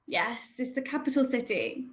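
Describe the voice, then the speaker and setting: A woman's voice: fairly high pitch, expressive, moderate pace. Chatty young woman tutor on a call. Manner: chatty, hesitant with many ums. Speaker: online English tutor. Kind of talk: casual video call chat. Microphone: video call.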